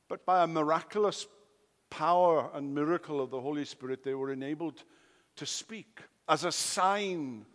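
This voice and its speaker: Welsh preacher with booming hall echo. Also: male voice. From Wales. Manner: emphatic, swings between loud declarations and quiet asides. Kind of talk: expository sermon. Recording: long hall reverb.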